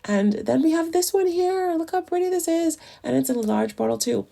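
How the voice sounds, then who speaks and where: A woman's voice: very high-pitched, lively intonation, fairly quick, gravelly, dark tone. A woman narrating a casual nail tutorial in a chatty, enthusiastic way, her voice a little off as it recovers from the flu. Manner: chatty, enthusiastic about products.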